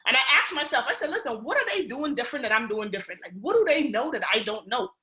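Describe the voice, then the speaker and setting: A young Bahamian woman with a Bahamian accent gives a motivational pitch to a conference call, animated and casual, often checking in with "right?". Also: quick pace, echoey room. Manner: animated, keeps asking "right?" and "y'all", keeping it real. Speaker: speaker on a recruiting call.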